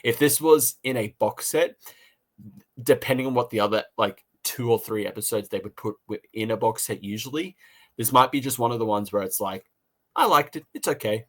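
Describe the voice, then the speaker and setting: A man co-hosting a casual review chat, talking in a halting way and rephrasing as he goes. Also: mid-range voice, a bit bright. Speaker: podcast co-host reviewing audio dramas.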